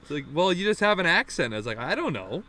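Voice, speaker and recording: A man's voice: on the high side, big pitch swings, fast talker, bright, forceful tone. A man in his thirties from the Toronto area with a Canadian drawl touched by Newfoundland, co-hosting a crude, laughing podcast chat. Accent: Canadian with a Newfoundland-tinged drawl. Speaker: podcast co-host. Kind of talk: casual chat.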